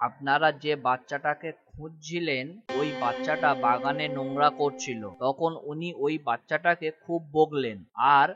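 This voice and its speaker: A man's voice: on the high side, normal speed, few pauses, muffled recording, music in the background, lightly acted. A man voicing a deferential cartoon tenant who confides as an informant. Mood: confiding. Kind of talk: cartoon voice acting.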